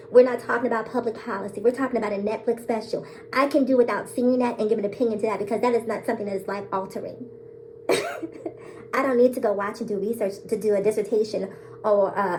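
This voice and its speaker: A woman's voice: fairly high pitch, fairly even intonation, fast, darkish tone, a little hiss or hum, poor audio. A woman vlogger talking straight to her viewers in a bold, sassy way, laughing often and calling them girl, sis and baby. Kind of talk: casual to-camera monologue.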